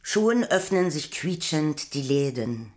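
A woman narrating a poetic radio play from a script in a measured, deliberate way. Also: deep voice, animated, a little mellow.

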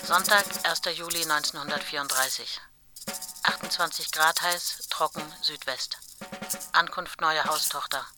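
An actress playing a brisk farmhouse wife reading out her terse, matter-of-fact household diary in a radio play. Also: low voice. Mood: brisk, factual. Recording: music and drums behind voice. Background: percussive music.

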